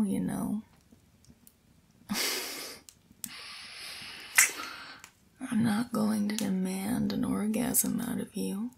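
A woman's voice: medium pitch, full character voice. A young woman voicing an affectionate, teasing, playful girlfriend in a whispered, intimate ASMR roleplay. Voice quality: breathy, whispery. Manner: slow, playful, teasing, with sighs and soft chuckles.

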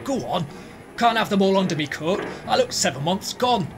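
An actor playing a cheeky, unruly young football supporter in a radio play, bantering. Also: male voice, high voice, animated, moderate pace, music in the background.

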